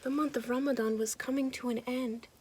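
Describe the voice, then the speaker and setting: A woman's voice: sits high, a little fast, breathy edge. A woman reading a children's picture book aloud expressively, with asides spoken directly to young listeners. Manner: animated, addressing children directly, pointing things out. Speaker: children's storytime host and reader.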